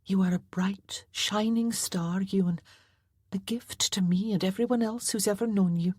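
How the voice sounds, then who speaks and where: Woman playing a soft-spoken mum, loving but firm, slightly husky, dry sound. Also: mid-range voice, normal speed, no room sound, voice pushed up, lightly acted. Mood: tender. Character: tender young Scottish mother, loving but firm.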